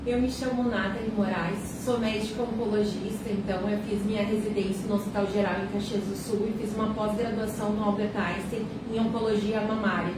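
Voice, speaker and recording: A woman oncologist calmly explaining cancer care as a radio guest. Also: mid-range voice, brisk, hardly any pauses. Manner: calm, reassuring, explanatory. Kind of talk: explanatory interview talk. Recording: very echoey room, distant mic, noise.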